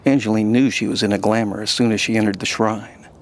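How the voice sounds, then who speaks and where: A man's voice: fairly low pitch, steady tone, fast. A man reading scripted fantasy narration as the storyteller of an audio drama.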